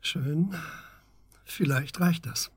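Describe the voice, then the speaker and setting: Radio drama stern, methodical male investigator: husky, clipped, insistent, very dry studio sound. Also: on the high side, extremely slow, no echo, exaggerated voice. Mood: resigned. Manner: matter-of-fact, insistent, clipped questioning. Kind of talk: naturalistic German radio drama acting.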